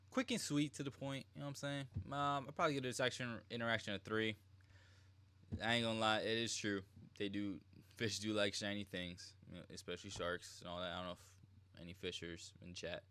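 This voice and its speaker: A man's voice: on the low side, fairly even intonation, moderate pace, long pauses, sharp tone, clear, resonant tone, dry recording. A man giving casual live reaction commentary as a game streamer, slangy and informal.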